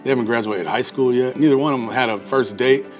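American man telling stories, mid pitch. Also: fast, faint music behind. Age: 40s. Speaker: football coach. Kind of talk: casual anecdotal interview. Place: small office.

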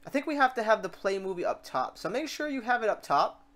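A tutorial host with a mid-range voice walks through software steps in a casual way. The delivery is informal and unscripted, thinking aloud and self-correcting while working. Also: medium pitch, sing-song, quick pace, slight background noise. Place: voice-over on a screen recording.

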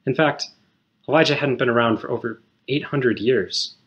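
Man in his thirties, short sermon, calm explanatory delivery, small room, camera at desk distance. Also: mid-range voice. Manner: measured, explanatory, reads scripture aloud. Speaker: speaker giving a short sermon. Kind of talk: scripted sermon. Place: small indoor room at home.

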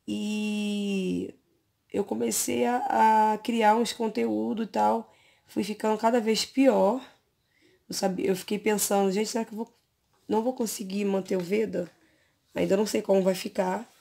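A Brazilian woman in her thirties, hoarse from an allergy, chatting casually to her viewers from her bedroom with a phone camera close to her face. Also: medium pitch, fairly even intonation, unhurried, mellow. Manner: chatty, hesitant, many fillers. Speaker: beauty vlogger. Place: bedroom at home, lying on pillows.

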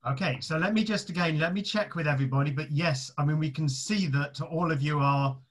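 Rabbi guy running the zoom, husky and kinda high voice. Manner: warm-welcoming, brisk moderator. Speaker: rabbi hosting the session. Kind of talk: moderating a video call. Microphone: video call.

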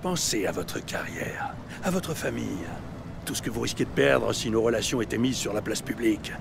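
An actor playing a menacing mob don in an audio series, formal, measured and coldly threatening. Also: male voice, fairly low pitch, lively intonation, gravelly, background noise. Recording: music behind the voice.

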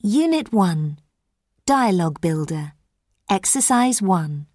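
Female textbook narrator: measured, slow, bright melodic pitch, dry booth. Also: very animated, no room sound. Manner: measured, careful reading. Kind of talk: scripted exercise announcements.

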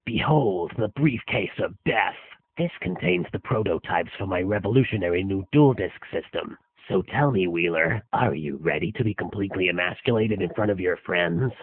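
Haughty young tycoon duelist played by a man, low and husky, sneering, for a cartoon parody; dry, phone-like sound. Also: expressive, moderate pace, hardly any pauses, dry recording. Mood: scornful. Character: arrogant rich young male card duelist, scornful. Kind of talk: parody anime dub. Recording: muffled tinny low-fidelity web audio, dry.

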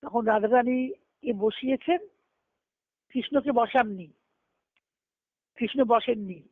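A woman's voice: on the high side, takes it slowly, dry recording. A woman gives an extempore devotional storytelling discourse on a conference call, explaining and rephrasing as she goes. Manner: explanatory, repeating and rephrasing phrases. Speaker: spiritual discourse speaker. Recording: dull lo-fi conference-call audio.

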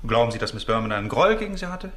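An actor playing an insistent, formal prosecutor cross-examining in a radio play. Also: male voice, medium pitch, sing-song, racing, fairly bright tone. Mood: pressing, formal.

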